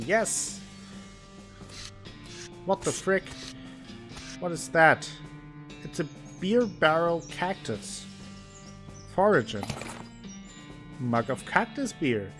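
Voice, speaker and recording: A man streaming a game, reading its text aloud and joking between the lines. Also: a bit bright. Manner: playful, reads narration with exaggerated pitch swings. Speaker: gameplay streamer. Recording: music playing underneath.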